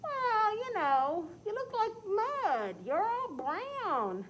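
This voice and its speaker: A woman doing a prissy high-pitched farm cat. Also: sing-song, fairly bright tone, highs cut off, a little hiss or hum, heavily acted. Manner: prissy, slow and judging. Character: high, sweetly fussy female farm cat.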